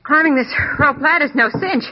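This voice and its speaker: An actress playing a plucky, eager, stubborn detective's assistant in a 1940s radio drama, with a perky, insistent delivery. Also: female voice, high-pitched, normal speed, close to an everyday voice. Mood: wry, curious. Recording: muffled, lo-fi old radio recording.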